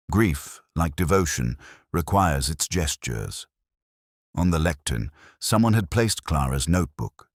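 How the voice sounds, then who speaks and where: A man reading a scripted first-person detective story in a measured, brooding, reflective way. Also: low voice, no room sound, studio quality. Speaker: narrator.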